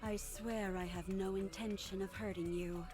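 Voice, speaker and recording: A woman voicing a gentle, earnest young android heroine in a game, soft and reassuring. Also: medium pitch, fairly even intonation, moderate pace, music in the background, lightly acted.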